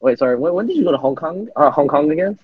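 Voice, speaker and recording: A man's voice: on the high side, expressive, quick pace, slightly rough, no room sound. A young adult man chatting casually in a discussion, on a dull recording. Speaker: discussion participant. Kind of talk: casual chat. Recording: dull, muffled audio.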